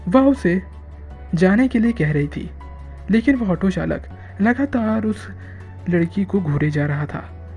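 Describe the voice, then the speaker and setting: Mellow, creaky-voiced horror story narrator. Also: mid-range voice. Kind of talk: scripted horror story narration in a Hindi cartoon video.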